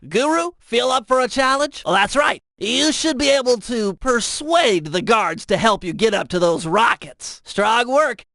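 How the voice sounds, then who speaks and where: A man's voice: very high-pitched, lively intonation, measured pace, gravelly, few pauses, pushed and bright, no room sound, heavily acted. A man voicing a brainy, earnest young turtle tech genius for a cartoon video game, talking in a precise, wordy, nasal way. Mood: matter-of-fact briefing. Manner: precise, wordy, pedantic planner.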